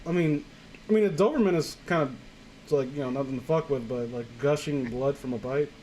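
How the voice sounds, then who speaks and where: A man's voice: medium pitch, brisk, a little mellow, low-quality recording. A man hosting a casual, comedic read-aloud of a story, stumbling over his words and going off on tangents. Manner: stumbles over words and digresses while reading. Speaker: host reading a horror story aloud with commentary. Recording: clear background noise.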